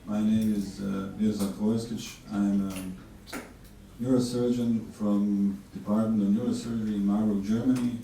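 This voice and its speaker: Deep-toned man lecturing in an echoey hall. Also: fairly even intonation, warm tone. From Bosnia and Herzegovina. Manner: measured, with frequent fillers and restarts. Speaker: neurosurgeon lecturer. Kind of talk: conference lecture. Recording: distant mic in an echoey hall with faint noise.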